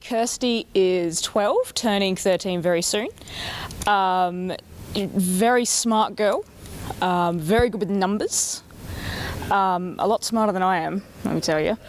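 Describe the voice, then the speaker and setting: A woman, a voice actor speaking slowly with many fillers in an interview. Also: mid-range voice, slight background noise. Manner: slow, full of fillers. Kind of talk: casual interview.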